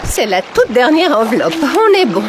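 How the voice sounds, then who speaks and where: A woman voicing a bossy, excitable schoolmistress in a French-dubbed children's cartoon, theatrical and able to go from scolding to cooing. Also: sits high, normal speed. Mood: perplexed, animated. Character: excitable, bossy schoolmistress who dotes on her kitten. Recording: music behind the voice. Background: music.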